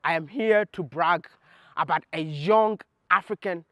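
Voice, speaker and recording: A man's voice: fairly high pitch, unhurried, a bit bright, dry recording. A man hosting a travel vlog and narrating with proud, enthusiastic energy. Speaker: travel vlogger and host.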